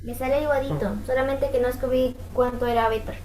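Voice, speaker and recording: High-voiced hesitant female student. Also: steady tone, fast talker, darkish tone, a little hiss or hum. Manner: hesitant, tentative answers. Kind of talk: answering tutor's questions.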